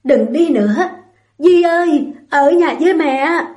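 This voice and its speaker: A woman's voice: a little high, measured pace, a little mellow, faint music behind, lightly acted. A woman voicing a poor aging mother, anguished and loving, who talks in a pleading, tearful way.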